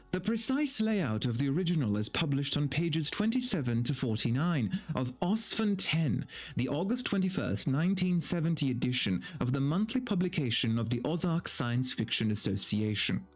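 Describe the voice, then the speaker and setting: A man livestreaming in a playful, expressive way, moving between casual chat and reading aloud to viewers. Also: fairly high pitch.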